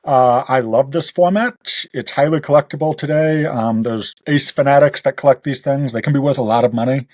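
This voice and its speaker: A man's voice: medium pitch, normal speed, hardly any pauses, a little mellow, no room sound, studio quality. A man chatting as an author guest, telling anecdotes informally and quoting conversations. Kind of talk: casual anecdotal chat.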